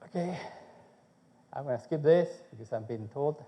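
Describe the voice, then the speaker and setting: A man's voice: fairly high pitch, animated, normal speed, mellow, big-room sound. A man from Chile, a food researcher giving a conference talk, speaking conversationally and often checking in with "okay?". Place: conference hall.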